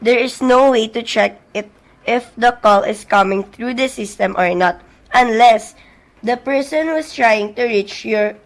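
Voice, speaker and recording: Woman doing a switchboard operator lady in a radio play, fairly high voice, courteous, formal, never rattled. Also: a little slow. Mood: patient, formal. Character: polite, unflappable female telephone switchboard operator. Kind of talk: amateur suspense radio play acting.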